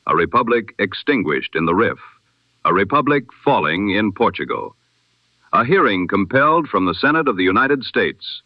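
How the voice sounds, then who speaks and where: A man reading the day's news as a formal, measured 1920s radio bulletin. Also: on the low side, dry recording. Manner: formal, measured, rhetorical delivery. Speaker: newsreader. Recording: dull lo-fi radio-style sound.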